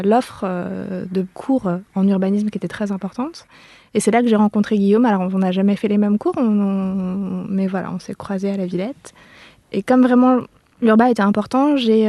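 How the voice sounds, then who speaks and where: A woman's voice: mid-range voice, mellow, dry recording. A woman from France, an architect, earnestly recounting how she came to architecture in an interview. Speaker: architect, office co-founder.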